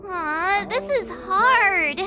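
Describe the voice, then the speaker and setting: A very high-pitched, cheerful young girl game character, fusses aloud to herself. Her voice swings in pitch but takes its time, and music plays clearly behind her. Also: lively intonation, very slow, sharp tone, full character voice. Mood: fretting. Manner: chatty, fussing aloud to herself. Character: cheerful, easily flustered young girl packing for a trip. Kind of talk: video game character acting.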